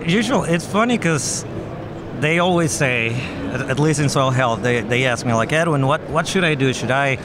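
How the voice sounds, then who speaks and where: A man's voice: mid-range voice, animated, moderate pace. Young guy explaining soil stuff earnestly, desk mic, noisy expo hall hum behind. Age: young adult. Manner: earnest, explains with analogies and restarts. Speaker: agronomist guest. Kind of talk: explanatory interview answers. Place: busy expo hall booth. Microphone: desk microphone on stand. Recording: background noise of trade show floor.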